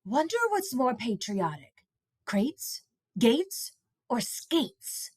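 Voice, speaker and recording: A woman's voice: a little high, very animated, extremely slow, darkish tone, husky, dry recording, clean studio sound, voice pushed up, full character voice. A woman voicing a pondering young schoolboy poet who thinks aloud, in a picture-book reading. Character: musing young schoolboy poet, puzzling over rhymes.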